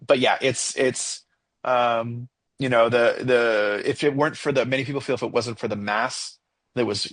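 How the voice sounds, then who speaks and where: A young man with a Mexican accent, co-hosting a casual podcast discussion, talking in a halting way with many fillers and restarts as he thinks aloud. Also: on the low side, a bit bright, slightly rough. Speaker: podcast co-host.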